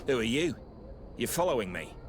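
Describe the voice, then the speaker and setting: A man's voice: mid-range voice, very animated, moderate pace, close to an everyday voice. A man as a defiant guard lieutenant, noisy background. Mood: evasive. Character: defiant male guard lieutenant running a criminal gang. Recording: noticeable background noise.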